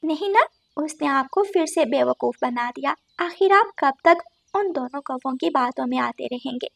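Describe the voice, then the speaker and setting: A woman's voice: high voice, expressive, normal speed, vocal fry, fairly bright tone, exaggerated voice. A woman voicing a wise, protective female sparrow in a children's cartoon, reproachful and sensible in her delivery. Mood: scolding, concerned. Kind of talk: Hindi children's cartoon dialogue.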